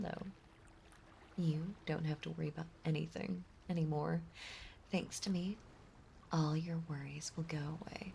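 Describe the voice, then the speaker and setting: A woman playing a possessive, lovesick mermaid monster girl in an intimate audio roleplay, breathy and often whispering, with a doting, clingy delivery. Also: low voice, a little mellow, slight background noise, heavily acted. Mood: soft, reassuring. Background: ocean ambience.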